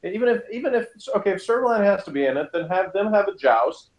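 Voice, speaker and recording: A man's voice: high-pitched, animated, fast, lo-fi sound. A man casually reviewing in conversation, opinionated and sarcastic, prone to ranting. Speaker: podcast review host. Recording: roomy, echoing sound.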